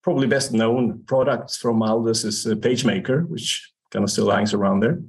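A man's voice: on the low side, moderate pace, slightly rough. A Swedish man in his sixties, a native Swedish speaker, telling his story in an interview with many fillers, restarts and laughs. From Sweden. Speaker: guest, company founder. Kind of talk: casual interview talk.

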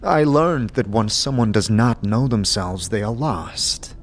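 A man's voice: fairly low pitch, lively intonation, a little slow, a little hiss or hum. A man playing an amnesiac wanderer in a fantasy drama, earnest and hesitant as he seeks understanding. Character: uncertain amnesiac male wanderer seeking understanding.

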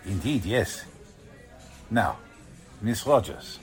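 Radio drama villain played by a man: German agent, low voice, icily courteous, quietly threatening, music underneath. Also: lively intonation. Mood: polite, sinister. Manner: quietly threatening, formal, deliberate phrasing. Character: menacing, coldly polite Nazi agent man. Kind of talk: 1940s radio drama acting.

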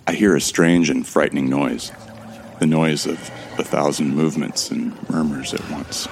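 Male actor as a grim lead narrator, very deep and gravelly. Audio drama. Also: steady tone, moderate pace, a little hiss or hum. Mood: resolute. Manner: grim, resigned first-person telling. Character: determined young man running toward doom. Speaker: first-person narrator and lead character.

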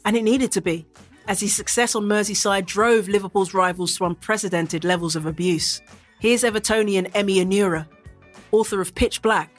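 Woman narrating a documentary over faint music. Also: mid-range voice. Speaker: documentary presenter. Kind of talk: scripted narration.

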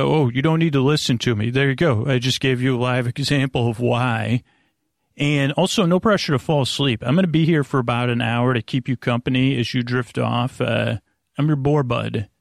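Male sleep-podcast host, heavy vocal fry, dry studio sound, halting and wandering delivery full of tangents. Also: medium pitch, dry recording. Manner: meandering, hesitant, stammering, often breaking off mid-thought. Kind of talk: rambling bedtime monologue with tangents.

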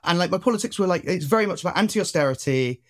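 Fast-talking young man, slight welsh lilt. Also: sits high, animated, fairly bright tone. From Wales. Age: 20s. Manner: chatty, rambling, full of fillers and restarts. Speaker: guest activist. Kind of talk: casual interview chat.

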